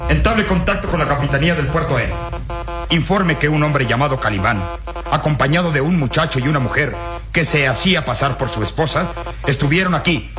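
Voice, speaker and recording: A burly, short-tempered commander played by a man in a radio drama. He is gruff and imperious, snapping out orders, with prominent music behind him. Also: fairly high pitch, lightly acted. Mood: angry, commanding. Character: burly, irritable, bossy police or military commander. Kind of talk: Latin American radio drama acting.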